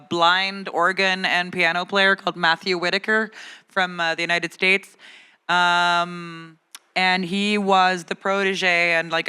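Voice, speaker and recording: Bright, smooth-voiced chatty woman moderator. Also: lowish voice. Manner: chatty and laughing, loose with fillers. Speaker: panel moderator and publicist. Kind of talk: panel discussion. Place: conference stage panel.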